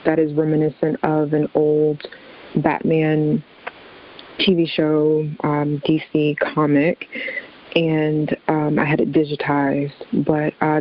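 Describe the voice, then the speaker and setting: An American woman, a young adult, answering interview questions in a conversational, measured and explanatory manner as a guest. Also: low-pitched, muffled recording. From southeastern Virginia, USA.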